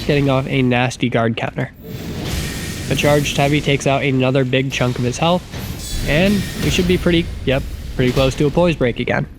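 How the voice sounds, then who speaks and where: A man with a stuffy, nasal voice from a cold, chatting casually and self-deprecatingly as he narrates a video game run over his footage. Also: medium pitch. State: getting sick, congested. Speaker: gaming YouTuber. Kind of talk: casual gameplay commentary voice-over.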